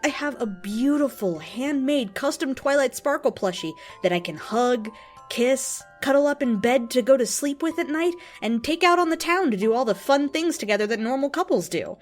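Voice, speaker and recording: High, theatrical woman playing an earnest lovestruck devotee. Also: expressive, moderate pace, soft background music. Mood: tender, devoted. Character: earnest, lovestruck, indignant devotee of a cartoon pony. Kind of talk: dramatic reading of an open letter.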